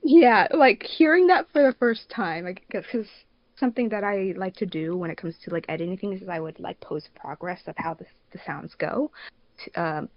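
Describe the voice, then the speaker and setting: A woman's voice: mid-range voice, normal speed, no echo. A woman chats casually as a podcast co-host. Her manner is informal and giggly, full of slang. The sound is dry and a little dull, like a remote voice call. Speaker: podcast co-host, fandub production crew member and editor.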